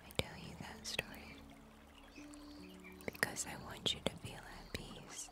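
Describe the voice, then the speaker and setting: A young woman playing a gentle, comforting summer angel in an ASMR roleplay, whispering softly and soothingly, close to the microphone. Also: natural voice. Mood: tender, soothing. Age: young adult. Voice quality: soft, breathy whisper. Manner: gentle, soothing, slow and deliberate. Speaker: ASMR performer. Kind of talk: ASMR roleplay, scripted storytelling. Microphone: close sensitive microphone.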